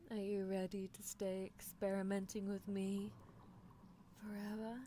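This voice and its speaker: A woman's voice: mid-range voice, little pitch movement, a little slow, dark tone, slight background noise, lightly acted. A woman playing a doting, possessive young female mad scientist in an ASMR roleplay, coaxing and theatrical, slipping toward whispers. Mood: delighted, teasing.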